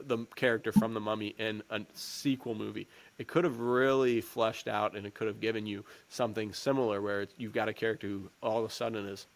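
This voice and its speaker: A man's voice: on the low side, fairly bright tone. A man co-hosting a podcast, chatting about films in an informal, rambling, slangy way. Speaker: podcast co-host. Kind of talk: casual chat, film commentary.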